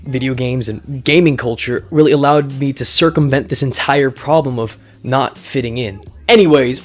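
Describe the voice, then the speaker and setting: A young man delivers a scripted speech in a relaxed, joking manner. His voice sits at a middle pitch for a man. He drops slangy asides and mock-dramatic flourishes into the prepared lines, and faint music plays underneath. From United States. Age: young adult. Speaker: student giving a class speech. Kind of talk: recorded speech, scripted but jokey.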